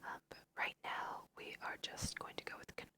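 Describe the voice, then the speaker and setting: A woman whispering casual, chatty ASMR commentary over a video game, thinking aloud in a breathy voice. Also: normal speed, bright, forceful tone. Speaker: host of an ASMR let's play.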